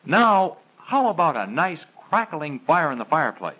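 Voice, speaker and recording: A man delivers playful, theatrical 1930s film patter in a fairly high voice that moves up and down a lot. The recording is very tinny and narrow, like an old soundtrack. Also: sing-song, moderate pace, background noise.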